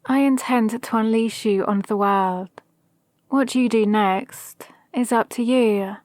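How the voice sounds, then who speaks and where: Villain mentor, female actor, ASMR roleplay: calculating, formal, gently menacing, unhurried, close dry sound. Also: a little high, dry recording. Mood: calm, calculating. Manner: measured, deliberate, formal. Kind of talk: scripted ASMR roleplay monologue.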